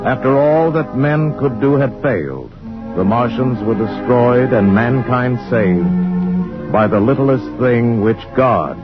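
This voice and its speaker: An actor as a grave, authoritative narrator, delivering measured, portentous vintage dramatic narration. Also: male voice, mid-range voice, lively intonation, loud music behind the voice. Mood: grave, reverent. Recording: muffled vintage recording under loud score.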